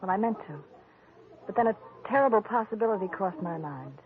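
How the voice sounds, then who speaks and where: A woman's voice: mid-range voice, animated, fairly quick, close to an everyday voice. Anxious coaxing wife on tinny old radio woman acting. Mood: anxious, confiding. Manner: earnest, pleading, coy. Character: anxious, coaxing detective's wife, a former actress. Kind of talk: 1940s radio drama acting.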